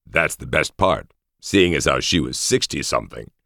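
Audiobook role: teasing scout, played by a male narrator, very low, gravelly, understated and needling. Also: animated, normal speed, bright, forceful tone, no room sound, studio quality, heavily acted. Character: wry, teasing male scout, loyal old friend. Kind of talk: audiobook character voice.

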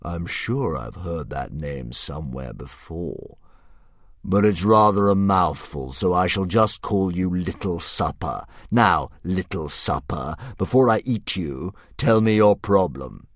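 A man's voice: sits low, animated, no echo, clean studio sound, exaggerated voice. A man voicing a vain, drawling dragon. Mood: grand. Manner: slow, drawn-out, grandly condescending. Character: huge vain male dragon, cheerfully menacing philosopher. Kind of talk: audiobook character voice.

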